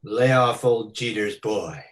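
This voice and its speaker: A big, menacing tough guy from a radio detective play , acted by a man. His voice is on the low side. He speaks in clipped, slangy threats, sure of himself. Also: takes it slowly. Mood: menacing, cocky. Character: cocky, menacing big male gunman. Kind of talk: 1940s radio detective drama.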